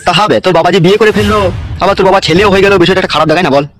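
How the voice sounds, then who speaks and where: Crude, mocking cartoon gang boss played by a man, bossy, slightly high male voice, racing pace. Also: very fast, soft background music, lightly acted. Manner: bossy, teasing, swearing. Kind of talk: comedy cartoon dubbing.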